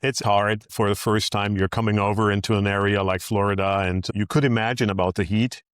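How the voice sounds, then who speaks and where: German-accented man, low and dry. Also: flat delivery, a little fast, clean tone, no echo. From northern Germany. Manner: earnest, upbeat, with restarts. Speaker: guest, managing director. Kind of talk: in-person interview.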